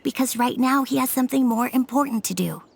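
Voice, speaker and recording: A calm young boy voiced by a woman plainly explaining his father's words. His voice is mid-pitched with a gravelly edge, and clear noise runs underneath the game dialogue. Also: fast talker.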